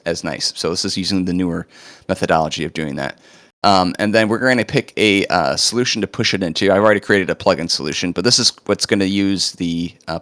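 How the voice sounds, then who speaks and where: A man presenting a live coding demo, chatting casually with lots of fillers, his voice a bit hoarse as he recovers from illness. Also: deep voice, a bit bright, dry recording. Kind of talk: live screen-share walkthrough.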